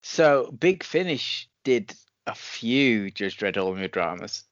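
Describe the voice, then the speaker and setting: A man's voice: medium pitch, very animated, slow pace, no echo, lo-fi sound. A man co-hosting a podcast review, chatting in an informal, lightly joking manner. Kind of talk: casual chat podcast review.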